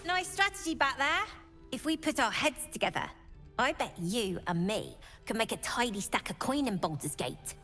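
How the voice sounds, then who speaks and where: High, bright street girl. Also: sing-song, normal speed, full character voice. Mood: cocky. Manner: cheeky, informal street grammar. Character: scrappy, defiant streetwise young gang-leader girl. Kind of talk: video game character acting. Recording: music behind the voice.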